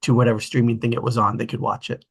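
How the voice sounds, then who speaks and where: A man hosting a casual online group discussion, chatting informally with a slightly husky voice. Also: medium pitch, level tone, rapid-fire. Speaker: host of a table read discussion.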